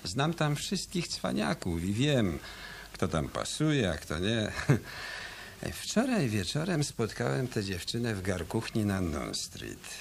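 Cocky streetwise tough guy, gravelly and slow, swings up and down, hissy old tape. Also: fairly low pitch, huge pitch swings, exaggerated voice. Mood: cocky storytelling. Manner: brash, cocky, slangy. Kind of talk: 1990s radio drama acting.

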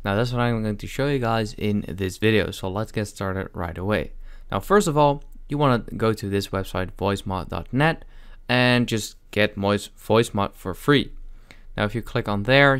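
A man narrating a casual software tutorial step by step, in an informal, hesitant manner with a clear, smooth voice. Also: lowish voice, moderate pace. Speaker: tutorial host.

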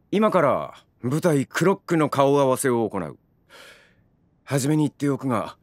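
Stage director: male, stern, commanding, very animated delivery, audio drama. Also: a little high, dry recording, clean studio sound. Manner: commanding, uncompromising. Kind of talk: Japanese audio drama acting.

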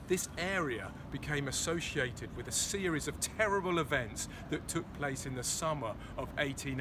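A man in his forties leading a walking tour, explaining sights with enthusiasm while walking outdoors in a city and filming on a close phone camera. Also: on the high side. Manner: enthusiastic, explanatory, pointing things out. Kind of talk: casual on-location tour narration. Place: outdoors on busy city streets. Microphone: handheld phone camera close to face. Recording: outdoor street traffic noise. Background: street and traffic noise.

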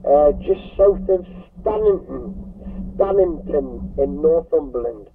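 A man's voice: fairly high pitch, lively intonation, slow. A man with a Northumbrian English accent making contact as a CB radio operator. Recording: noisy, muffled CB radio transmission.

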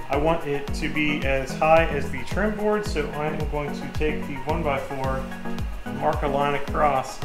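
A middle-aged man hosting a DIY video, explaining a woodworking build step by step to an action camera a few feet away. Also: mid-range voice. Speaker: DIY woodworking vlog host. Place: home garage and driveway, some indoors in a bare room. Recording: roomy echo, music behind.

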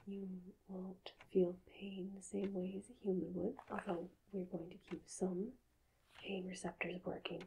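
Woman, hushed ASMR doctor roleplay, close-miked, calm and halting, gravelly fry, faint noise with clicks. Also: mid-range voice, poor audio. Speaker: ASMR performer playing a hypnotist doctor. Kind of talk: ASMR medical roleplay, soft-spoken and whispering.

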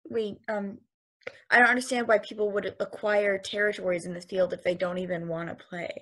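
A woman reading a curious, wide-eyed space probe in a table read over a video call, talking in an earnest, halting way. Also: medium pitch, little pitch movement, fairly bright tone, close to an everyday voice. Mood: wondering.

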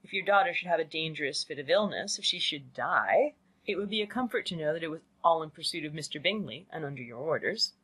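A woman voicing a dry, sardonic middle-aged father in a storybook, speaking coolly and deliberately. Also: deep voice, husky, heavily acted, poor audio. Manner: cool, deliberate, deadpan.

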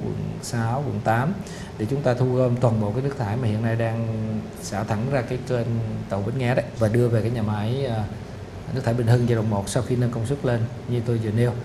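A middle-aged man explaining a city project in a formal interview, measured and full of "ờ" pauses. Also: medium pitch, background noise. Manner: measured, explanatory, frequent "ờ" fillers. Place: studio office set with photo wall. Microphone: lapel mic.

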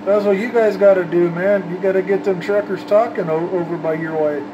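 A man with a slightly high-pitched voice talks in a loose, chatty, slangy way, like a radio hobbyist on the CB. A clearly audible background noise sits behind him. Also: steady tone, brisk, lo-fi sound. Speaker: CB radio operator, channel host. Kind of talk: casual CB radio chat.

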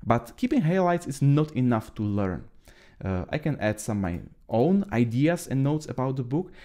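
A man in his thirties from the Czech Republic with a Czech accent, speaking English as a second language while walking through his note system in a screen-share tutorial, halting often with fillers and restarts. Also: medium pitch, sing-song, warm tone. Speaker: trainer.

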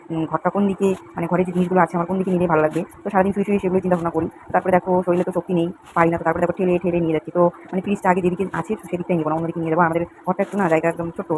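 A young woman chatting to viewers in a run-on, repetitive way as she narrates a casual home vlog. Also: lowish voice. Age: young adult. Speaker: home vlogger. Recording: clearly noisy home recording, uneven volume.

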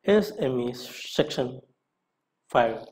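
A man with a mid-range voice narrates a scripted lesson. He reads out questions and follows each with a short answer. His delivery is deliberate, in the way of an instructor. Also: mid-range voice, expressive, a little mellow.

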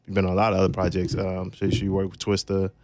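Deep-voiced young man, casual radio host. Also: completely flat delivery, fast talker, darkish tone, dry recording. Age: young adult. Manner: informal, slangy. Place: radio studio with equipment racks. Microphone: close studio broadcast microphone.

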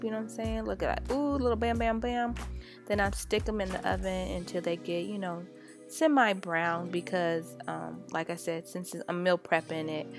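A woman, a mom chatting casually as she walks through her meal prep. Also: on the low side. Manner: chatty and relaxed, many fillers. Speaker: vlogger, mom cooking for her kids. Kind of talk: casual cooking voice-over.

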